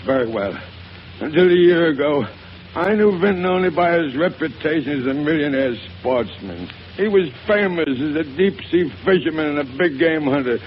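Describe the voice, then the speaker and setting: A man's voice: on the high side, lively intonation, moderate pace, close to an everyday voice. An actor playing a guilt-ridden male boat builder losing his mind in a 1930s radio drama. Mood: confessional. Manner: anxious, then frantic and wild. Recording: dull old radio broadcast with hiss.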